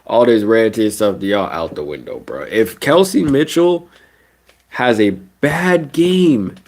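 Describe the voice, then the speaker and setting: A man's voice: on the low side, slow pace. A young man with a stuffy, nasal voice from a cold, ranting casually about basketball on a live stream. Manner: animated ranting, casual slang. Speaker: sports streamer.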